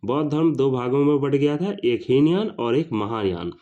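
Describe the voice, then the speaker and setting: A man's voice: medium pitch, expressive, normal speed, warm tone. A man teaches like an exam tutor, in a didactic question-and-answer manner, repeating each question and its answer. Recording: dull lo-fi sound.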